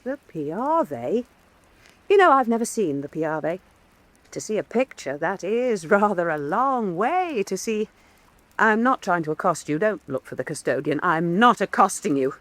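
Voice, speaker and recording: An actress playing a proud, intense woman painter in a radio drama, declaiming with scorn and dramatic pauses. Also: medium pitch, big pitch swings. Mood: restless, insistent. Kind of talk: theatrical radio drama acting.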